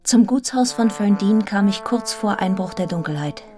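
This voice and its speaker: A woman's voice: medium pitch, expressive, moderate pace, lightly acted. An actress playing a devoted, independent young Englishwoman in a German radio play, speaking and narrating with literary, composed earnestness. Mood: somber narration. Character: devoted, independent young Englishwoman telling her own story. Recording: studio radio play with music.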